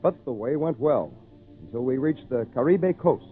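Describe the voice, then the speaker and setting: An actor playing an earnest, naive young English adventurer in a theatrical old-time radio drama. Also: male voice, medium pitch, expressive, moderate pace, soft background music, natural voice. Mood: dramatic. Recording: noisy, tinny old radio recording.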